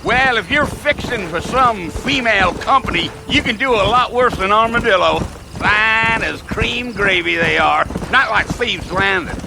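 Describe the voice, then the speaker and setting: Chatty old west trail guide, high-ish gravelly voice, country western drawl, game dialogue. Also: male voice, measured pace, noisy background. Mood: chatty, wry. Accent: American West, rural. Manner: folksy, drawling cowboy slang. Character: folksy, talkative old-west wagon guide. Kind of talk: video game voice acting.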